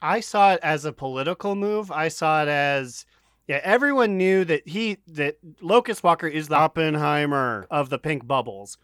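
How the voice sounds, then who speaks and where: Higher-voiced man riffing, close and dry. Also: normal speed, a bit bright, smooth, no echo. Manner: joking, riffs in imagined quotes. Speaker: podcast co-host. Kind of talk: casual comic retelling.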